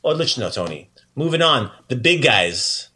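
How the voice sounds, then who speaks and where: A man's voice: mid-range voice, very animated, normal speed, pushed and bright. A man hosting a podcast language lesson in a casual, playful and encouraging way. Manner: encouraging, praising the learner often.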